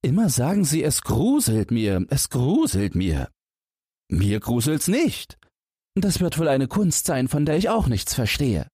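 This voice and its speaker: A man's voice: medium pitch, big pitch swings, measured pace, mellow, husky, dry recording, clean studio sound, pitched above the performer's own voice. A man voicing a naive, fearless simpleton boy, earnest and guileless, in a fairy-tale audiobook. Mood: puzzled. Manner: guileless, puzzled, plain-spoken.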